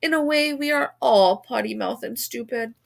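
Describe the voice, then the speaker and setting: A woman's voice: on the high side, normal speed, creaky. A woman voicing a goofy, earnest middle-school boy who declaims pompously. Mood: grandly earnest.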